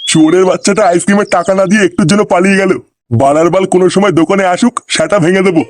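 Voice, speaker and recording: A man voicing an excitable, short-tempered ice-cream seller in a comedy cartoon, with a crude streak. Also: high-pitched, very fast, close to an everyday voice. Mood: indignant, angry. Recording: clearly noisy background.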